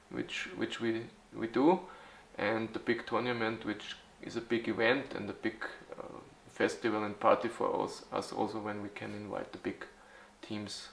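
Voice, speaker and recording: Man, 40s, low flat voice, answers haltingly with fillers, echoey room. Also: vocal fry. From Austria. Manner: halting, with frequent fillers and restarts. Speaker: youth football club organizer. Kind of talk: interview answers in English.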